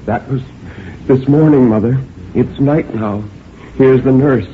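A man's voice: medium pitch, a little slow, slight background noise, pitched above the performer's own voice, lightly acted. A man voicing an anxious, patient grown daughter soothing and pleading with her dying mother in a story reading. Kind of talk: dramatic short story reading. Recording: thin phone-like audio.

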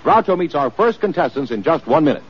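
A man who announces a quiz show in a booming, formal 1950s radio style. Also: big pitch swings, fast, husky. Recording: dull old broadcast recording with band music.

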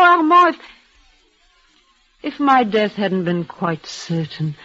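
An actress playing a frail, dying young woman, tender and devoted, speaking in a breathless, halting way with soft repeats. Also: on the high side. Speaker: lead actress. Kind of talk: 1930s radio drama acting. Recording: muffled old radio broadcast.

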